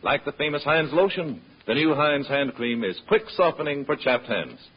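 Husky, animated American radio announcer. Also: male voice, fairly high pitch, moderate pace. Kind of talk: scripted vintage radio advertising. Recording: dull vintage radio recording.

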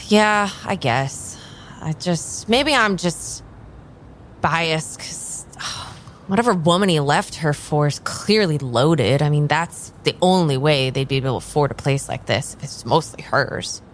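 An actress playing a jaded, reluctant tarot reader in a naturalistic improvised audio drama, hesitant and exasperated. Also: female voice, deep voice, lively intonation, unhurried, slight background noise. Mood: disdainful. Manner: hesitant, sighing, muttering.

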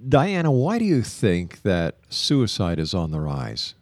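A man with a low voice hosting a radio interview show, talking in an earnest, measured way. He speeds up for station announcements, and the sound is dry and close. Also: huge pitch swings, a little mellow, dry recording. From Canada. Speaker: radio talk show host.

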